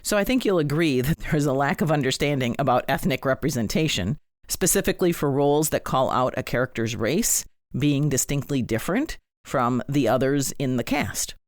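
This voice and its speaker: A man's voice: mid-range voice, animated, fairly quick, no room sound, clean studio sound. A man hosting a podcast, giving conversational commentary with wry, informal asides. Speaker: podcast host.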